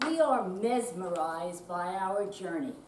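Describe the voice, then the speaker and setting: A woman's voice: on the low side, animated, slow, over a noisy crowd. An older woman giving a dramatic reading as a performer in a live readers theater. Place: senior center room, mic at a distance. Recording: echoey room, distant, noisy background.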